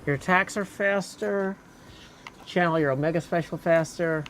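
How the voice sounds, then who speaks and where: A man's voice: fairly high pitch, moderate pace, fairly bright tone, slight background noise. A man giving casual gameplay commentary, talking to himself as he plays. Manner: talks aloud to himself while playing. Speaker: video game streamer.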